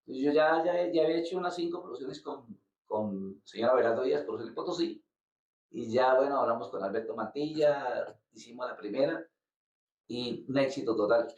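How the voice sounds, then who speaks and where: Gravelly Colombian-born man, distant mic. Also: medium pitch, darkish tone. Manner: halting, repeats words while searching for them. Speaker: guest musician. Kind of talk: casual interview. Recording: roomy, distant, dull lo-fi sound.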